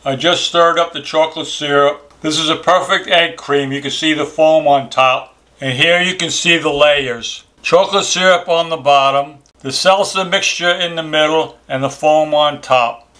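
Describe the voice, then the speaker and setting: A man's voice: a little high, fairly even intonation, moderate pace, bright, forceful tone. An older man co-hosting a vlog, explaining a recipe step by step in a plain, matter-of-fact way. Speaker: channel co-host. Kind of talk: kitchen demonstration.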